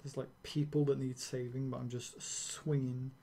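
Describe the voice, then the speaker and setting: Young man, gravelly, rambling gameplay rant, casual swearing. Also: mid-range voice, flat delivery, dark tone. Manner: ranting, rambling, swearing, quick laughs. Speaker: streamer.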